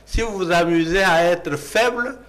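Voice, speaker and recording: A man's voice: sits high, moderate pace, a bit bright. A man with a Congolese accent, arguing emphatically as a panelist in a political debate and posing rhetorical questions.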